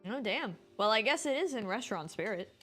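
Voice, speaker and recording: A woman's voice: on the high side, animated, brisk, fairly bright tone. A woman streamer giving casual, rambling, informal live commentary on a game. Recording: music behind the voice.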